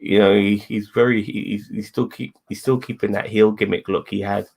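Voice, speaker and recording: Low, creaky-voiced man, rambling and sweary. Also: steady tone, normal speed, a little mellow. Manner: rambling, repeats phrases, sweary. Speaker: co-host. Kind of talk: casual wrestling review chat.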